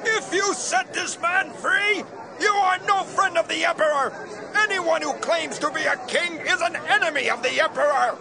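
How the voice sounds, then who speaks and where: An actor playing a furious, bloodthirsty member of a mob, shouting stridently in a scripture audio drama. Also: male voice, extremely high pitch, normal speed, creaky, noisy background. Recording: loud crowd din and music. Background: loud crowd and music.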